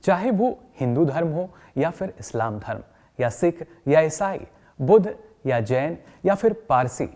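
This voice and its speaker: An encouraging male trainer played by an actor leads a workshop. His pitch moves in a lively way as he patiently explains points and puts questions to the group, in the manner of a training film. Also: a little slow. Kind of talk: scripted training-session lecture with questions to the group.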